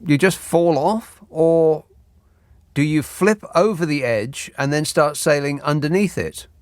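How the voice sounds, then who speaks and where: A man hosting a late-night radio phone-in, reading listener texts with dry, deadpan sarcasm. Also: on the high side, unhurried, no echo. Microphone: studio microphone.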